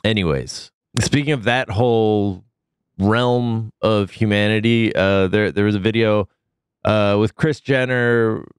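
A man's voice: lowish voice, measured pace, smooth, dry recording, clean studio sound. A man with a cold hosting a casual comedy podcast, loose and jokey with big swoops of emphasis. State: sick, deep voice. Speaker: podcast host.

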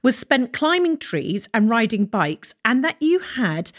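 A woman hosting an interview, asking questions warmly and encouraging her guest. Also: a little high, sing-song, measured pace. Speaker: interviewer host. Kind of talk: conversational interview.

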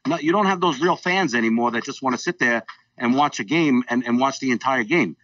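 Opinionated Long Island caller, frustrated and stammering, heard from a distance over the line. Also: male voice, medium pitch, a little fast, a bit bright, dry recording, highs cut off. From Long Island, New York. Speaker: phone-in guest.

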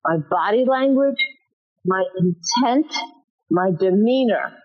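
A woman teaching self-defense in an interview, emphatic with a booming voice, often acting out example lines. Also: animated, takes it slowly, muffled recording. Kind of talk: instructive interview talk.

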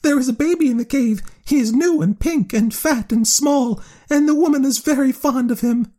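A man voicing a small, excitable bat in a storybook, in a high squeaky chatter. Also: normal speed. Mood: eager.

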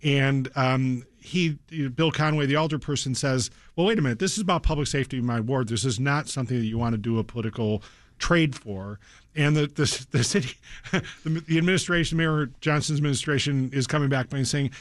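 A man on a radio news roundtable in a studio, speaking in a measured, deliberate way and pausing to find his words. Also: mid-range voice, dry recording. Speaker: panelist, good-government advocate.

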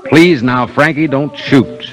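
An actor playing a calm, probing police inspector in a 1950s radio mystery, questioning in a measured, dryly skeptical way. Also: male voice, mid-range voice, lightly acted. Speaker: actor in a radio detective drama. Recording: old 1950s radio recording, faint music.

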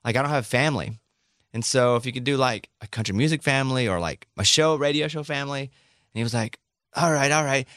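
A man hosting a radio show in casual chat, rambling and cutting himself off, his voice cracking and going hoarse. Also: mid-range voice, a bit bright, dry recording, studio quality. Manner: fast, rambling, self-interrupting. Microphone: studio microphone.

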